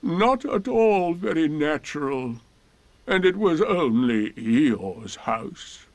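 A man voicing a gloomy, melancholy toy donkey in a storybook, with mournful, drawn-out, resigned speech. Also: high-pitched, very animated, dry recording, pitched above the performer's own voice, heavily acted. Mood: self-pitying gloom.

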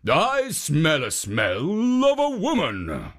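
A storyteller voicing a wicked, people-eating male ogre who talks gruffly and demands things. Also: mid-range voice, wildly swooping pitch, takes it slowly, a bit bright, pitched above the performer's own voice, exaggerated voice.

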